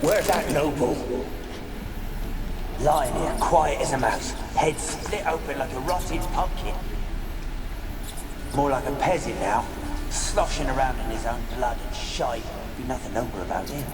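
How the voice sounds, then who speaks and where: A man's voice: medium pitch, unhurried, pauses often, natural voice. An actor playing a bitter, vengeful peasant man in a video game, crude and callous with rustic phrasing. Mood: vengeful, gloating. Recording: roomy, distant, under loud music.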